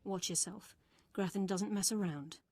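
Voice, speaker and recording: A woman acts out a weary female military officer from a sci-fi game. She speaks in a brisk, blunt, no-nonsense way at a middle pitch, protective of her troops. The sound is dry and studio-close. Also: warm tone, no echo, lightly acted. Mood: frustrated, urgent. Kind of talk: video game voice acting.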